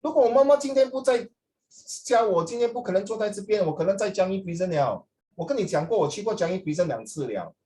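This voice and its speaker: A man's voice: high voice, lively intonation, fast, dark tone. A middle-aged man with a Singaporean accent, chatting informally as a language teacher and slipping between English and Mandarin, with frequent tags like "ah". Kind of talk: informal classroom talk with students.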